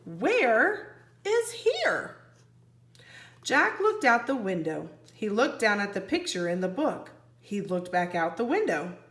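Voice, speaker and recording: Animated woman reading to children, bright voice. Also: mid-range voice, roomy sound. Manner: expressive, instructional, addressing children with questions. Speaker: first-grade teacher reading aloud. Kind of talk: animated read-aloud of a children's storybook. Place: indoor room, book held on camera.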